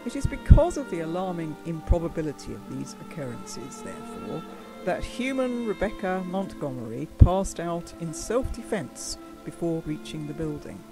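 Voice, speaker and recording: Low, lively female narrator over music. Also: poor audio. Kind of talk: scripted comic sci-fi narration.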